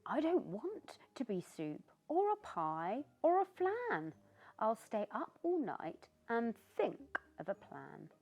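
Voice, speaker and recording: A sunny little pumpkin boy with a bright, lifted voice that bounces up and down as he chats, as a woman reading aloud puts him on. He sounds eager and friendly, a storybook character who loves fun. Soft music plays faintly underneath. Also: on the high side, huge pitch swings, slow pace, pitched above the performer's own voice, full character voice. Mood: determined. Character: cheerful upbeat young male pumpkin.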